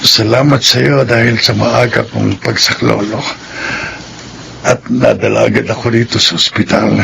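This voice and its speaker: A benevolent, recovering boss in a radio drama. His voice is mid-pitched and heavily creaky, thankful in manner, with faint noise. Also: flat delivery, moderate pace, fairly bright tone. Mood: grateful. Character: grateful, benevolent male boss recovering in hospital. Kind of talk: gentle radio drama acting.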